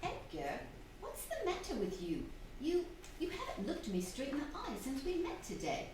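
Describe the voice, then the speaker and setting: An actress plays a young widow in a staged radio drama. Her voice is high and very lively, with emphatic, dramatic pauses. She is heard at a distance in a heavily echoing theatre. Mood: cool, firm. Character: young unhappy widow, cool and composed, pleading when cornered. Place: theatre stage, live performance. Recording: very echoey hall, distant mic, faint noise.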